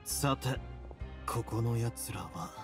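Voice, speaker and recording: An actor playing a composed, shrewd duke in a game, speaking in a cool, measured, authoritative way. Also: male voice, medium pitch, creaky, music in the background. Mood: grim. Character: composed, shrewd male duke in command of a fortress. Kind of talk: Japanese game voice acting.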